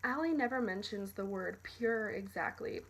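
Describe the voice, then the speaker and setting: A woman's voice: mid-range voice, normal speed. A woman reading a prepared academic argument as a scripted student narration. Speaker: student presenter.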